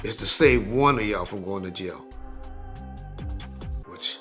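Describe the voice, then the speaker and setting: A man's voice: on the low side, slow, pushed and bright, faint music behind. A man giving loose, informal commentary, chuckling as he talks and often restarting his sentences. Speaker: commentator on a podcast clip. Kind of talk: casual spoken commentary.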